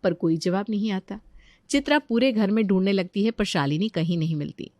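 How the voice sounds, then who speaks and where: Woman narrator reading a scripted story, mid pitch, no room sound at all. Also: a little fast, darkish tone, no room sound.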